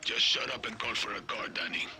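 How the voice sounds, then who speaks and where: An actor playing a swaggering, teasing guerrilla mentor in a video game. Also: male voice, fairly low pitch, fairly quick, close to an everyday voice. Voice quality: brash, teasing. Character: swaggering veteran male guerrilla mentor, wisecracking. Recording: game soundtrack music behind.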